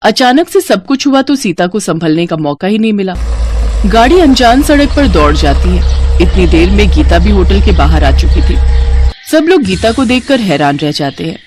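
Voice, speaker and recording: A woman's voice: medium pitch, big pitch swings, moderate pace, noisy background. A woman reading scripted narration as the narrator of a story.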